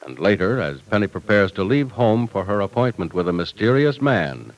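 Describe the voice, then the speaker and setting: Old time radio announcer guy, lowish voice with lots of swoop, dramatic scripted narration. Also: sing-song, moderate pace, low-quality recording.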